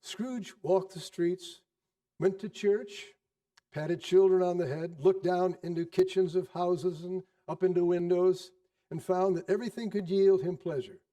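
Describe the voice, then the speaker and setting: A man narrating a storybook tale theatrically, expressive, savouring repetitions and pauses. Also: high voice. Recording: distant microphone.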